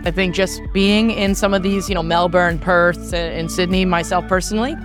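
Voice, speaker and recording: A woman from Canada, a soccer coach and former player, answering interview questions with energy and many quick restarts. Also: fairly low pitch, music in the background. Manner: energetic, fast, frequent restarts and fillers.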